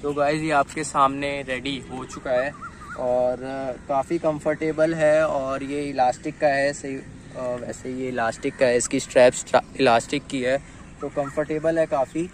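A young man talks straight to his viewers in a chatty, easygoing way, calling them guys as he shows off a gadget. His voice sits at an ordinary pitch and stays fairly level with little rise and fall. He is recorded outdoors on a phone, with faint noise from the open air around him. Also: fairly even intonation, moderate pace, poor audio. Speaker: vlogger. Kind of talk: casual unboxing and test vlog. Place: outdoors in an open dirt field, later riding on a street. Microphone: phone camera, later a chest-mounted phone.

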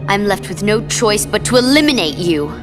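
An actress playing a cruel, short-tempered young villainess in a video game, mocking and haughty. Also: female voice, mid-range voice, animated, normal speed, over music, lightly acted. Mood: irritated menace. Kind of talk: video game cutscene acting.

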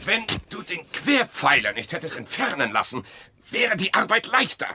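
An actor playing a needling, evasive husband in a radio play, with a dry, measured, goading manner. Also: male voice, sits high, wildly swooping pitch, background noise, heavily acted.

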